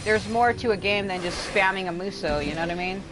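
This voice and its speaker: A woman's voice: mid-range voice, quick pace, noisy background. A woman livestreaming a video game with casual, rambling commentary, blunt and complaining, swearing freely.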